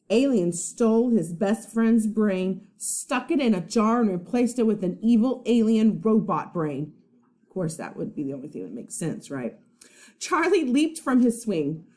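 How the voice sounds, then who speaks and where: Animated woman reading a children's story. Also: medium pitch. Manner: expressive, pauses to ask listeners questions. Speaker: first grade teacher. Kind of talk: children's story read-aloud.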